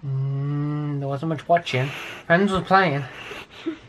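A man, teasing his partner in a dry, relaxed way in a bedroom at night. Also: on the high side, slow, a little hiss or hum, low-quality recording. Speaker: vlogger's partner. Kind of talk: casual chat. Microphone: handheld camera.

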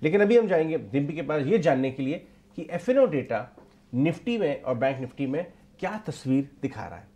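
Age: middle-aged. Sex: man. Pitch: somewhat high. Role: market news anchor. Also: big pitch swings, a little fast, dark tone. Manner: explanatory, repeats key points for emphasis. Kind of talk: live market commentary. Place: TV news studio. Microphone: lapel mic.

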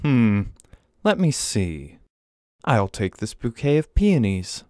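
A man's voice: mid-range voice, very animated, slow, warm tone, no echo, studio quality. A man voicing a wealthy, curt, aloof young businessman in a visual novel game. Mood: cool, curt.

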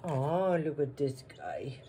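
Woman, slow pace, soft dark tone, casual vlog narration, plain-spoken, slightly noisy home recording. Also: extremely low pitch, big pitch swings. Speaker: farm vlogger.

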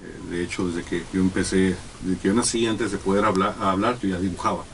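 Mexican male guest, halting speech with fillers, low voice, sits off-mic with faint room hiss. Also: steady tone, creaky, distant mic. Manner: halting, many fillers and restarts. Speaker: guest artist. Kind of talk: casual radio interview. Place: small radio studio. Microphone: desk studio mic, sitting back.

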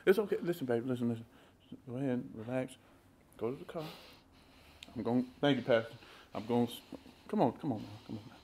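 A man playing a placating churchgoing husband in a comedy sketch, talking in halting, coaxing repetitions. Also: medium pitch, animated, warm tone, a little hiss or hum, natural voice. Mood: soothing, hurried. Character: placating churchgoing husband, eager to please, slightly sheepish. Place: inside a house.

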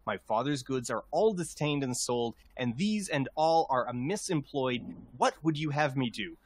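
A man's voice: mid-range voice, lively intonation, normal speed, no room sound, natural voice. A man playing a proud, aggrieved rebel nobleman, delivering verse in a formal, declamatory manner. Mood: indignant.

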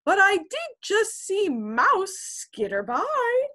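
A woman voicing a skittish elephant who is scared of mice, squealing high. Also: huge pitch swings, slow pace, pushed and bright, full character voice. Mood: startled. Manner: shrieking then squealy.

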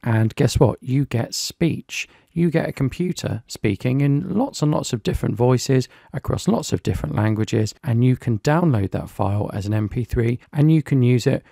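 A British man from the UK reviewing and demonstrating a piece of software in a casual, explanatory way. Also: medium pitch, expressive, moderate pace, vocal fry, few pauses, no room sound, studio quality. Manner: plain, explanatory, lightly chatty. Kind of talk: screen-recorded software tutorial.

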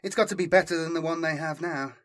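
A man's voice: a little high, quick pace, voice pushed up, lightly acted. A man voicing a smug, self-assured male fugitive in an audiobook, superior and teasing in manner. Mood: appraising, persuasive. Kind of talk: audiobook character dialogue.

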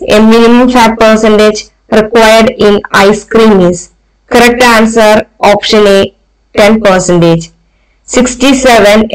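A woman with an Indian accent reading exam questions and answers aloud as a tutor, in a measured, formulaic way. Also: medium pitch, smooth, poor audio.

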